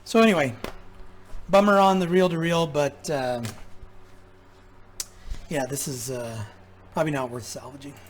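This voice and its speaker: A man with a slightly high voice talks through hands-on repair work in a casual way. He muses and pauses as he thinks aloud. There is noticeable background noise on the recording. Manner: musing, halting, thinking aloud. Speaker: repair vlogger. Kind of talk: casual hands-on workbench commentary. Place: indoor repair workshop.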